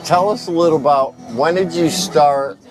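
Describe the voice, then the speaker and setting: A man's voice: a little high, moderate pace, low-quality recording. A man hosting a casual, chatty on-location interview at a festival in a park. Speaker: radio interviewer and host. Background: music and crowd.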